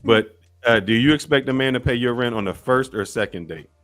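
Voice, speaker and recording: A man's voice: mid-range voice, brisk, a bit bright, soft background music. A man hosting a live call-in chat, playful, teasing and blunt with his callers. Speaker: host of a call-in show.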